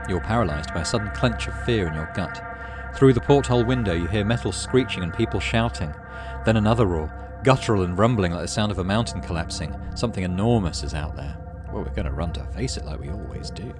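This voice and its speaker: A man with a low-pitched voice hosts a story game playthrough. He reads the text aloud like dramatic narration and drops into casual commentary of his own. Game music plays clearly behind him. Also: lively intonation, fast talker. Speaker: gaming channel host. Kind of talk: dramatic read-aloud narration of a visual novel; casual let's play commentary between readings.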